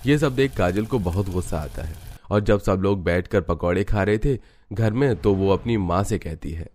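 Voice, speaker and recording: Low-voiced man narrating a cartoon story. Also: moderate pace, a little mellow.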